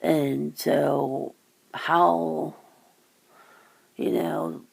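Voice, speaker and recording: A woman speaks in a very gravelly, fry-laden voice at an unhurried pace. She muses and rambles through a casual ASMR chat, loose and informal in her phrasing. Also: sits low, steady tone. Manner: musing, rambling, swears casually. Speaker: ASMR host.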